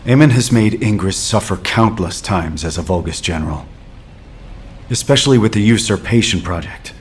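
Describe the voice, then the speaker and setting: Low, stern military commander played by a man. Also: lively intonation, slight background noise, lightly acted. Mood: grave. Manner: grave, authoritative, deliberate. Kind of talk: video game cutscene acting.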